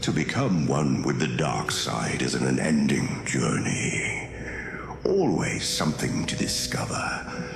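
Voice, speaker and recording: Ancient villain master for audio drama: extremely deep, creaking, contemptuous, ominously slow delivery. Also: male voice, big pitch swings, exaggerated voice. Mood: ominous, testing. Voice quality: gravelly, creaky. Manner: slow, deliberate, ominous, imperious. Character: menacing ancient reptilian dark-side master, cunning and contemptuous. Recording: echoing voice over music.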